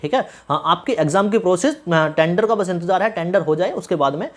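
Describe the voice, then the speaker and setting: A man talks at a quick clip, like a YouTuber briefing exam candidates. He explains things informally and gives instructive advice, often restating a phrase to drive it home. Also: high voice, lively intonation. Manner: instructive, repeats phrases for emphasis.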